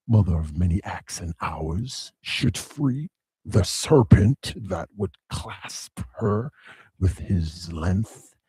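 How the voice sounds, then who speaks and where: A man's voice: mellow, no echo, exaggerated voice. A man voicing Demogorgon, a vast primal power, in a solemn dramatic verse reading. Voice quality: deep, husky, slow and solemn.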